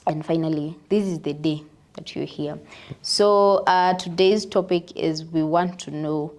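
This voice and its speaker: Kenyan woman hosting a lively chat. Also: low voice, unhurried. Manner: lively, teasing, mixes in Swahili. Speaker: podcast host. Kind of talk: casual interview chat.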